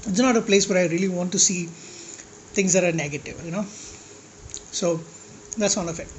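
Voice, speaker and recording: A man with a slightly high-pitched voice, ranting casually to his vlog audience in a rambling, blunt way and swearing at critics. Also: a little fast, slight background noise, low-quality recording. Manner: rambling, with restarts and asides. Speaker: food vlogger. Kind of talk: casual rant to camera while eating.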